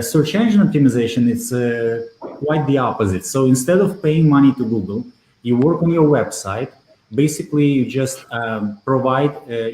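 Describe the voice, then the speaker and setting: A man with a mid-range voice, answering interview questions over a video call. He talks in a steady, measured way and often pauses on "uh". The sound carries a clear roomy echo. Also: medium pitch. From Belarus. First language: Russian. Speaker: guest, SEO consultant.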